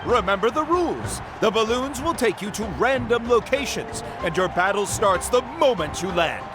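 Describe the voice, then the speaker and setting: A showy tournament announcer from a video game. The voice is high and swings widely in pitch, projected with theatrical, formal energy and drawn-out endings, over music. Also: male voice, sing-song. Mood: excited.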